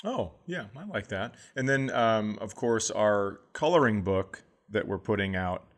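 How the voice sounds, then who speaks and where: A middle-aged man co-hosting a comedy podcast, telling absurd jokes deadpan about parenting. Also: lowish voice, measured pace. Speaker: podcast co-host. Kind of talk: casual comedic chat.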